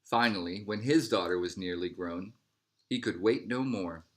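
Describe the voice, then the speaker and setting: A man reading a picture book aloud as a narrator, in measured phrases that settle at the ends. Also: low voice, a bit bright. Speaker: storybook reader.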